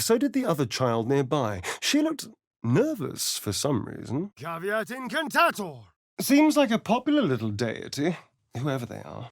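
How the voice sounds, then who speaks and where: An actor voicing a vain, sardonic vampire spawn rogue in a video game, arch and theatrical with a drawling delivery. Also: male voice, a little high, no room sound, clean studio sound, exaggerated voice. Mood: forceful spellcasting. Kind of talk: video game character voice lines.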